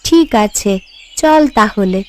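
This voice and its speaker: A woman voicing a young, chatty, deferential daughter-in-law in a cartoon, sweet and animated. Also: mid-range voice, slow pace. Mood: cheerful. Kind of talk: Bengali cartoon voice acting. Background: background music.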